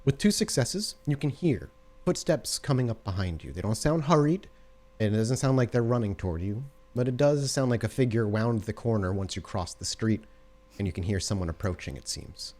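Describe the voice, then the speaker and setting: A man's voice: on the low side, lively intonation, fairly quick, a little mellow. A man acting as game master, narrating scenes for a tabletop roleplay game in a descriptive way.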